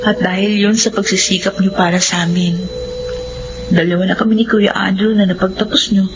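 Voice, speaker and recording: A woman's voice: medium pitch, fairly quick, muffled recording, background noise, natural voice. An actress playing a caring, teasing, stubborn young woman, a daughter and older sister, in a radio drama. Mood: affectionate. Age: young adult. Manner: playful, expressive, affectionate.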